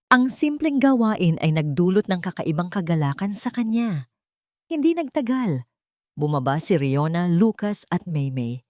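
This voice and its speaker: Low-voiced woman narrating with lively, swooping pitch. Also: no echo, clean studio sound. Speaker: storyteller narrating a romantic family drama. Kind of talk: scripted dramatic story narration.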